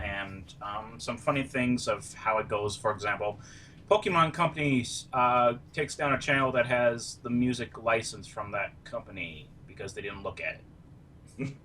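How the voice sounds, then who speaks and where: A man hosting a podcast in an upbeat, chatty way, a little off the mic with a faint rumble behind. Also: pushed and bright. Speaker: podcast host. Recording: slightly distant mic with faint background rumble.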